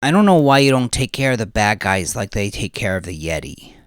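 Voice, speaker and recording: A man's voice: lowish voice, sing-song, a little fast, clear, resonant tone, no room sound, clean studio sound, voice pushed up. A man voicing an eager, pleading little girl who is easily disappointed, in an audiobook story. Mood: sleepy, grumbling.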